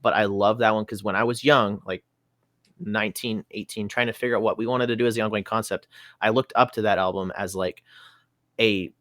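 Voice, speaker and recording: A man's voice: lowish voice, steady tone, brisk, fairly bright tone, no room sound. A young adult man, a musician guest on a podcast, chatting casually and rambling a bit as he thinks aloud.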